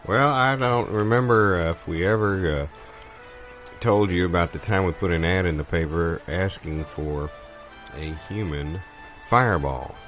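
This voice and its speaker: Guy as circus vet, really deep voice, hesitant deadpan improv chat, little music behind. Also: a little slow. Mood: mild, earnest. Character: male circus veteran, mild, curious, earnestly absurd. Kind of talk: improvised conversational comedy.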